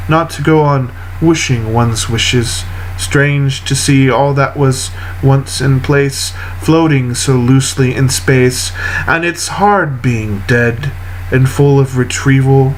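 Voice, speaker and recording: A man reading poetry aloud in a solemn, literary style, with deliberate, measured phrasing and frequent pauses. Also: medium pitch, slight background noise, poor audio. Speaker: poetry reader.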